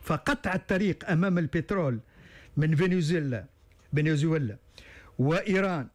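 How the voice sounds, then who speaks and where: A man's voice: fairly high pitch, brisk. A Tunisian-accented man analysing events as a talk show guest. His somewhat raised voice halts and restarts often, with frequent fillers. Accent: Tunisian Arabic. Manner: hesitant, many stalled restarts and fillers. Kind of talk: studio talk show discussion.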